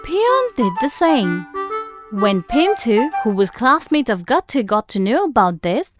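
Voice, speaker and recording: A woman's voice: on the high side, sing-song, measured pace. A woman narrating a scripted children's story as storyteller. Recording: music behind.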